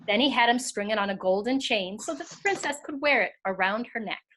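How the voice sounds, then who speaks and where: A woman's voice: on the high side, animated, brisk, a bit bright, slight background noise. A woman narrating a storybook tale as part of a scripted readers theater performance.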